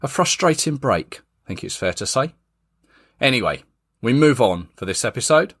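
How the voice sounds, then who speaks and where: A man hosting a football podcast, chatting casually with a guest. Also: fairly low pitch. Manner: chatty, lively intonation. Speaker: podcast host.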